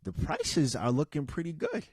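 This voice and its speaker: A man hosting a casual, unscripted podcast, talking in a loose, rambling way, restarting sentences and giving shout-outs to listeners. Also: a little high, huge pitch swings, normal speed, husky, no room sound, studio quality. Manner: rambling and conversational, with many restarts and asides. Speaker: podcast host.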